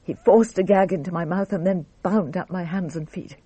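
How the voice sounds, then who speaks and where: A woman acting in a radio drama as a composed, guarded wife recounting an ordeal in measured, formal speech. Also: on the low side, mellow, breathy edge, no echo. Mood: grave, shaken.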